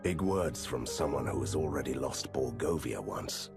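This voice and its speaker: Really low growly monster hunter, stern, deadpan, dramatic game hero. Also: male voice, normal speed, vocal fry, music in the background, heavily acted. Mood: grimly wry. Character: stern, resolute male monster hunter, dryly witty. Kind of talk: video game hero acting.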